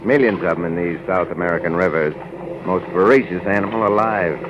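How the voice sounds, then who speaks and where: An actor playing a cool, tough adventurer and leader, brisk and matter-of-fact, in 1940s radio adventure style. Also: male voice, low-pitched, lightly acted. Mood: grim, matter-of-fact. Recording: noisy muffled old-time radio recording.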